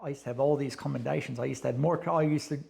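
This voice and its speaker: A man's voice: mid-range voice, fairly even intonation, very fast, creaky, a little mellow, a little hiss or hum. A man, a guest telling stories in a blunt, profane, casual way and laughing often. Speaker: interview guest. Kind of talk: casual conversational storytelling.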